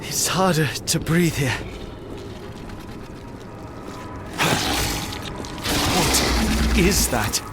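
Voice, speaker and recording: An actor playing a shrewd, driven private detective in a video game, speaking in a formal, precise and coolly commanding way. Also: male voice, fairly high pitch, expressive, slow. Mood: uneasy, awed. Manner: formal, precise, deductive delivery. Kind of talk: dramatic video game voice acting. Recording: music bed under the voice.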